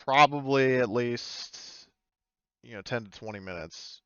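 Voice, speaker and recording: A dry, slightly muffled recording of a man with a smooth, clean voice. He is a game master improvising descriptions, tentatively rewording as he goes. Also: medium pitch, a little slow, fairly bright tone, no room sound. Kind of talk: improvised tabletop roleplay narration.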